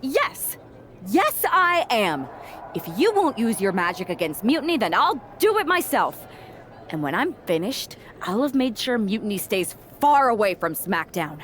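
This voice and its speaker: A woman's voice: fairly high pitch, sing-song, unhurried, fairly bright tone. A woman voicing an eager, overdramatic young wrestler who wants to be a witch, in a video game. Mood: indignant, determined. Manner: perky, pleading, overdramatic. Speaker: voice actor in a video game cutscene. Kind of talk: animated video game acting.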